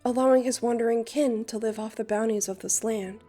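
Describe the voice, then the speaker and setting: A theatrical young male bard, acted by a woman, tells tales in a lilting, old-fashioned way for a fantasy dub. The voice is fairly high and mellow, over faint music. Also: fairly even intonation, brisk, lightly acted. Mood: storytelling. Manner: lilting, archaic rhyming delivery. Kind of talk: fan dub character acting and storytelling narration.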